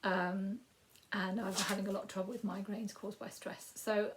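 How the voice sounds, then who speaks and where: A woman in her fifties with a Yorkshire accent and a croaky voice, giving a rambling, casual crafting update with frequent ums. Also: mid-range voice, little pitch movement, normal speed, noisy background. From Yorkshire, England. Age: 50s. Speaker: crafting podcast host.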